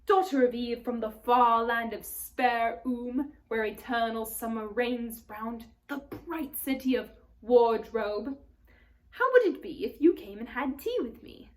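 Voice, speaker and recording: A woman's voice: on the high side, unhurried, lightly acted. A woman voicing a friendly, easily flustered male faun from a storybook, speaking politely and formally with hesitant repetitions. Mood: courteous, inviting. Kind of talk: storybook character read aloud.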